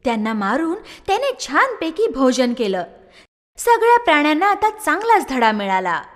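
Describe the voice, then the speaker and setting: A woman narrates a children's story in a high voice with lively swings. A long reverb hangs on her words. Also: normal speed.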